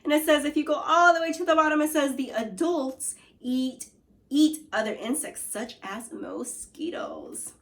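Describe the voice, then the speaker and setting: A woman's voice: high voice, sing-song, measured pace. A woman teacher leading a read-aloud lesson for young children, asking questions and guiding them in an encouraging, instructive way. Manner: encouraging, instructive, addressing pupils directly. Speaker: elementary school teacher.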